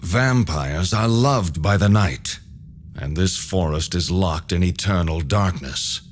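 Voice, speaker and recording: An actor playing a mysterious, calm old hermit in a video game, speaking in a measured, wry way. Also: male voice, deep voice, a little hiss or hum. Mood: calm, grave. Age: older. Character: mysterious old man, calm hermit helping vampire hunters. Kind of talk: video game cutscene voice acting.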